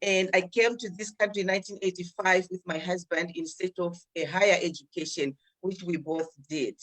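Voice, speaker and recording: Older woman from Zimbabwe, guest on a video call, measured storytelling. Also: on the low side, fairly even intonation, a bit bright, low-quality recording. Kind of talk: personal storytelling.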